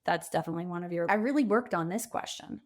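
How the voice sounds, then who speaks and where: A woman speaking as a coach and podcast guest, reflective and conversational, with emphatic phrasing and deliberate pauses. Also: on the low side. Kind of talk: conversational interview.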